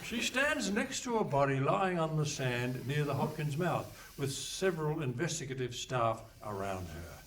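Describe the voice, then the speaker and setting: A man's voice: on the high side, very animated, normal speed, few pauses, fairly bright tone. Narrator for stage radio comedy: man, wry, very varied intonation, off-mic with faint noise. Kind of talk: scripted narration of a comic radio play. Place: hall stage with a live audience. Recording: distant stage pickup with some noise.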